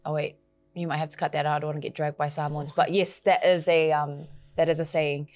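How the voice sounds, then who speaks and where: A woman hosting a podcast, chatty and quick, with playful asides between serious points. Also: low voice, little pitch movement. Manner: quick, chatty, laughs easily. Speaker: podcast host. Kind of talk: casual podcast chat.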